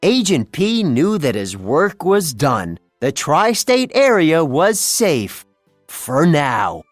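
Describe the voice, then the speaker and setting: A narrator reading a children's story aloud in a scripted, dramatic and deliberate way. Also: medium pitch, big pitch swings, no room sound, clean studio sound, faint music behind.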